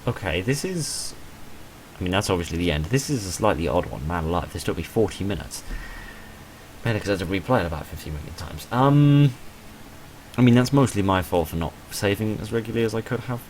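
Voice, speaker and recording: Man, deep voice, casual let's-play commentary, understated and measured delivery, some background noise. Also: animated, darkish tone, low-quality recording. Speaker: video game let's-player and reviewer. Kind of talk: casual game playthrough commentary, reading text aloud and reviewing.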